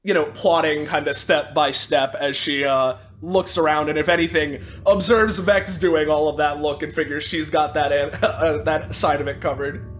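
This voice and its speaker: A man's voice: fairly high pitch, steady tone, normal speed, a bit bright, faint music behind. A man casually describing a character's actions as a tabletop game player, in loose, hesitant phrasing.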